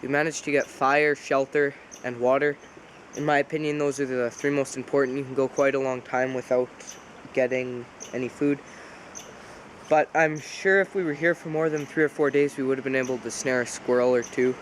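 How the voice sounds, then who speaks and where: A man's voice: mid-range voice, moderate pace, slight background noise, poor audio. Congested man rambling outdoors. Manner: relaxed, rambling, self-correcting. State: congested with a cold. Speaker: outdoor survival vlogger. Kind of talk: casual outdoor demonstration. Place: outdoors by a pond.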